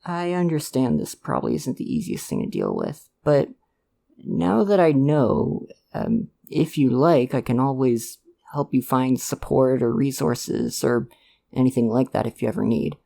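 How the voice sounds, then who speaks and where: A man playing a supportive, gently protective dad in an ASMR roleplay, speaking in a hesitant, earnest, reassuring way to the listener. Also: medium pitch, big pitch swings, unhurried, vocal fry. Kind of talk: ASMR roleplay, one-sided conversation with listener.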